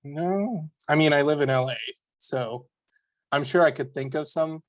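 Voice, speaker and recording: A man in his late twenties chats as a podcast guest, joking dryly at his own expense. His voice sits a little high for a man. The recording is dry and close. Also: measured pace, no echo. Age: 20s. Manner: dry, self-mocking humor. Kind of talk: casual chat.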